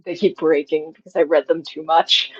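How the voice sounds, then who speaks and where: Lower-pitched young woman, slightly muffled. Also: steady tone, normal speed, breathy edge, dry recording. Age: young adult. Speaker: scriptwriter, interview guest. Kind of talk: casual, anecdotal interview talk.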